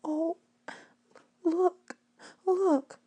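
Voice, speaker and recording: A woman voicing small, excitable schoolchildren in a storybook read-aloud, exclaiming with feeling. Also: extremely high pitch, very slow, voice pushed up, heavily acted. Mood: dismayed. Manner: exclaiming, eager, emotional.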